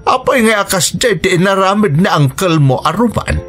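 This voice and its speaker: A man's voice: sits high, animated, normal speed, fairly bright tone. An actor with an Ilocano Filipino accent playing a concerned, protective elderly grandfather in a radio drama, speaking emphatically. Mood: concerned, questioning. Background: music.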